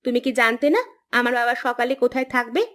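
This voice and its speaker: A woman voicing a nagging, teasing young village wife with a sharp tongue, in a folk-tale cartoon. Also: on the high side, little pitch movement, quick pace, close to an everyday voice. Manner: scolding, teasing. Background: background music.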